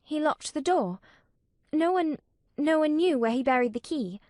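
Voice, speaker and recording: Lady doing a high careful little girl voice, a bit nervous, dry sound. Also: lively intonation, normal speed, a little mellow, no echo. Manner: careful and hesitant. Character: ten-year-old girl, curious and nervous. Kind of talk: audiobook character voice.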